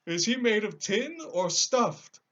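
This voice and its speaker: A man's voice: high-pitched, sing-song, slow pace, fairly bright tone, highs cut off, voice pushed up, heavily acted. A man voicing a big cowardly lion in a storybook, sad, timid and self-pitying, with a plaintive, theatrical delivery. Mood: curious, surprised. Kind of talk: storybook character voice.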